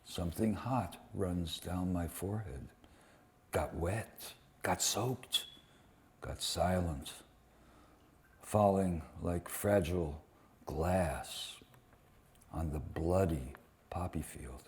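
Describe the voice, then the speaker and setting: A man giving a dramatic live poetry reading, delivering short phrases deliberately with weighted pauses. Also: sits low. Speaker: poet reading translated war poems at a poetry event.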